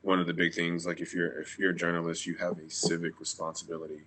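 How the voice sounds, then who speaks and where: A very low-pitched man with a bright, forceful edge to his voice. He speaks earnestly and hedges his points. The tone is conversational. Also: monotone, fast talker, a little hiss or hum, low-quality recording. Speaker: cast member at a table read. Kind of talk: casual discussion.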